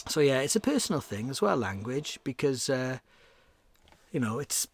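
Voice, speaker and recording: A man's voice: medium pitch, big pitch swings, moderate pace, breathy edge. A Welsh man in his forties, a Welsh speaker and radio presenter, talking casually as a podcast guest with plenty of fillers and restarts. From Wales. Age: 40s. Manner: rambling, many fillers and restarts. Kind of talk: casual conversation.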